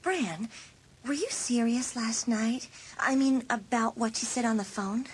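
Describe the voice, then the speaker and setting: A woman's voice: fairly high pitch, measured pace, slightly rough. A woman playing a hesitant, anxious teenage girl in a dramatised audiobook, questioning everything in disbelief. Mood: shocked, doubtful. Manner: incredulous, questioning.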